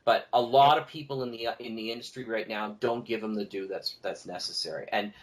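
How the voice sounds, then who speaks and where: A man's voice: on the low side, steady tone, a little fast. A man talking casually as a guest on a podcast, speaking emphatically and repeating key words for stress.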